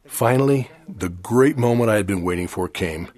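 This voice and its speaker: Older man from Chile preaching a sermon; low, slightly husky voice, measured and earnest. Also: a little mellow. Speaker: church speaker. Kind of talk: formal sermon.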